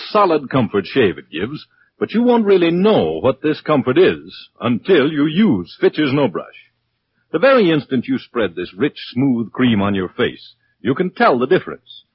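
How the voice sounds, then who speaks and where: A man's voice: medium pitch, lo-fi sound, slight background noise. A man announcing and reading commercials in 1940s radio style, on an old recording.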